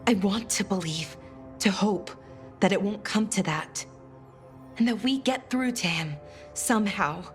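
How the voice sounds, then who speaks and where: A woman plays an earnest young female scholar from a fantasy game. She speaks slowly and hesitantly at a middling pitch, anxious but hopeful, over faint music. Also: close to an everyday voice. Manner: hesitant, trailing afterthoughts. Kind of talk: video game voice acting.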